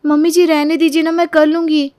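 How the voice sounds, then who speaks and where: A woman voicing a meek, weary young daughter-in-law in a storybook tale, soft and plaintive. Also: high-pitched, flat delivery, a little fast, no echo, studio quality, voice pushed up, natural voice. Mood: timid. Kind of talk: Hindi moral-story voice acting.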